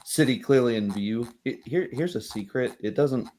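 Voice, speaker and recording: A man with a mid-pitched voice chats as a game master getting a tabletop session ready. He talks in a rambling, halting way, correcting himself as he explains the setup. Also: darkish tone, a little hiss or hum. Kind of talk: casual chat setting up a tabletop roleplay session.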